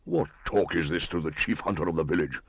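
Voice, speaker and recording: Pompous old male village hunter played by a man, low voice, blustering but craven, archaic storybook diction. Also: a little fast, vocal fry, noisy background. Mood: blustering, greedy.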